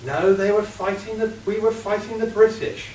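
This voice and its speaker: A man playing a scheming female criminal mastermind in an amateur radio play, cold and deliberate. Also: high-pitched, level tone, darkish tone, close to an everyday voice. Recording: roomy, distant, faint hiss.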